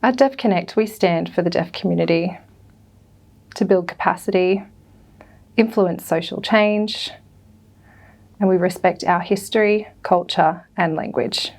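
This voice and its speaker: A woman interpreting a prepared talk aloud, lower-pitched for a woman and gravelly. She speaks carefully in short phrases. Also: a little slow, long pauses, a little mellow. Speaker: sign language interpreter voicing a deaf speaker.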